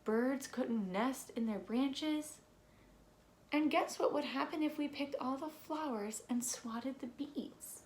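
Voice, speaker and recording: A woman reading a children's storybook aloud expressively, with deliberate phrasing and playful emphasis. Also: high-pitched, a little mellow. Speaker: read-aloud presenter. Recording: echoey room with faint noise.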